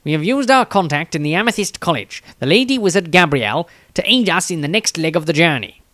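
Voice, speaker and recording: A man's voice: a little high, expressive, brisk, fairly bright tone, dry recording. A man voicing a conceited male scholar of magic, pompously reading from his storybook diary. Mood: suspicious, self-important.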